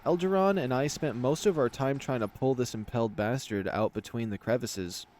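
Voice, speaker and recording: A man's voice: mid-range voice, normal speed, darkish tone, a little hiss or hum, close to an everyday voice. A man voicing a small, crude, greedy male cougar scout with a matter-of-fact manner in a visual novel. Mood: grumbling.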